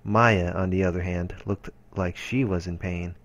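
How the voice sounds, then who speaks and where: A man narrating a visual novel aloud in a measured storytelling manner. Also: low voice, steady tone. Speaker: let's-play narrator. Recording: voice-over with music behind. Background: game music.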